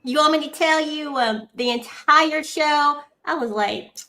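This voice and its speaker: A woman in her forties hosts a relaxed online show from home. Her voice sits high and bright. She talks slowly, playful and full of banter over a video call. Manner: lively, playful, Southern-style "girl" and "y'all" banter. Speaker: podcast host. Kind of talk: casual livestream chat.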